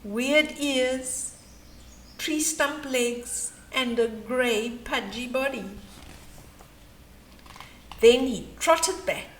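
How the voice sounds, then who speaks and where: Slow, high-voiced older woman storyteller. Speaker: storyteller reading a picture book to children. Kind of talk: expressive bedtime storytelling with asides. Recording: roomy echo, faint background noise.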